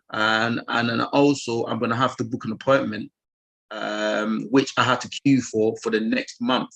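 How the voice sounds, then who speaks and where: A man's voice: mid-range voice, fairly bright tone, rough recording. A man calling into an online forum, earnestly asking a long, rambling question with many fillers and restarts. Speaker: caller. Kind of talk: asking a question on a video call.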